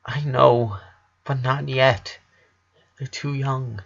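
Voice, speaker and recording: A man voicing a calm, gentle eleven-year-old boy who speaks softly and soothingly while hiding his grief. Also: mid-range voice, slow, vocal fry, heavily acted. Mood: pleading. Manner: serene, soft, soothing. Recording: dull lo-fi recording.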